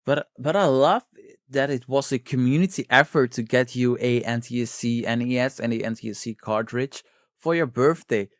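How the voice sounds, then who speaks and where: A man's voice: medium pitch, normal speed, dry recording, studio quality. A man hosting a podcast, chatting casually and appreciatively with his guest. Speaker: podcast host. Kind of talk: casual interview chat.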